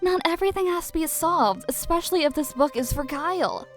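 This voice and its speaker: An actress plays a young woman who is a prying, easily exasperated best friend. The voice is clearly high and the delivery pointed and dramatic, in the style of a game roleplay series. Soft music runs underneath. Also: animated, brisk. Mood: concerned. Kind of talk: Minecraft roleplay voice acting.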